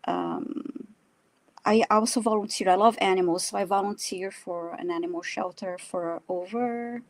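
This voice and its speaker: A woman from Brazil, a native Portuguese speaker with a Brazilian accent, chatting casually about herself in a halting, self-deprecating way. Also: mid-range voice, fairly even intonation, dry recording. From São Paulo, Brazil. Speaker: guest, animal communicator and energy healer. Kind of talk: casual personal storytelling in a live chat.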